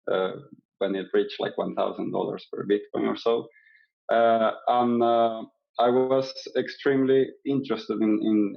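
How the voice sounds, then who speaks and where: Low gravelly man, lo-fi sound. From Venezuela. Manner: hesitant, many fillers and restarts, laughs lightly. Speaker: interview guest, candidate. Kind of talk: casual interview answers. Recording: dull lo-fi sound.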